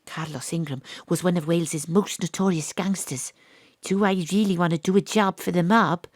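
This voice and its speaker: Female private eye played by an actress, Welsh accent, deadpan noir parody, sceptical, very dry close sound. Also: on the low side, moderate pace, no room sound, lightly acted. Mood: wry, suspicious. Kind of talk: noir-parody audio drama narration and dialogue.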